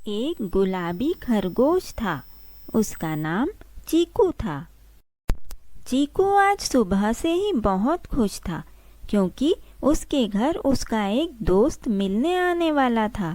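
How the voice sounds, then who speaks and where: A woman's voice: a little high, sing-song, slow, a little mellow, no room sound. A woman narrating a scripted children's cartoon story.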